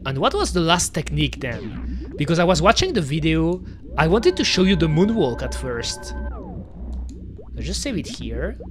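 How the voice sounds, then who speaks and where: Belgian-accented, French-native streamer; chatty casual game commentary, very animated pitch. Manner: chatty, talks to chat, lots of "you know" and "pretty much".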